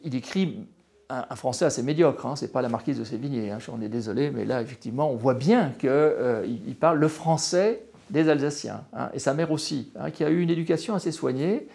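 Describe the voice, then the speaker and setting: A man's voice: mid-range voice, brisk, creaky, dark tone, breathy edge. A French man in his late sixties, a retired history professor, talks hesitantly in an interview, with many fillers and restarts. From France. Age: 60s. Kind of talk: interview, personal account.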